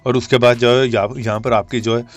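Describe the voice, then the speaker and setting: A man's voice: medium pitch, little pitch movement, a little fast, muffled recording. A man hosting a tech tips video, walking listeners through steps in a casual, instructional way and often checking that they follow. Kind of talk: app tutorial narration in casual spoken manner.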